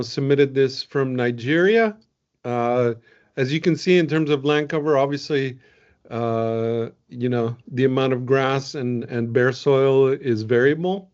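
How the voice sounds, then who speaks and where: A man's voice: medium pitch, a little mellow. A man from Venezuela, a scientist presenting results in a casual webinar, talking loosely with many ums and restarts. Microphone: video call. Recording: muffled video-call audio.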